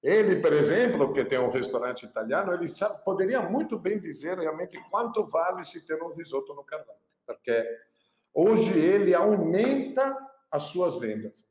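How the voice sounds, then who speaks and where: A man's voice: on the high side, normal speed. A man with a Pernambuco accent, a chef talking casually to camera about selling dishes, stressing points by repeating phrases. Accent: Pernambuco Brazilian Portuguese. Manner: expressive, repeats phrases for emphasis. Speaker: chef giving cooking business advice. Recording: echoey room.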